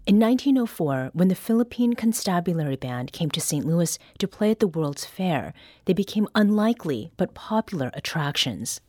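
Low-voiced woman radio host. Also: very animated, dark tone, no room sound, faint music behind. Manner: measured scripted introduction, then brief listening responses. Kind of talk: radio interview hosting.

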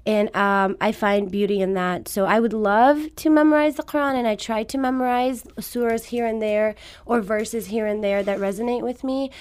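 A woman's voice: on the high side, fairly even intonation, normal speed, hardly any pauses, a little mellow, smooth. A woman from Afghanistan whose first language is Farsi, talking reflectively as a guest in a radio interview. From born in Afghanistan. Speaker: panel guest, fitness trainer. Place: radio studio.

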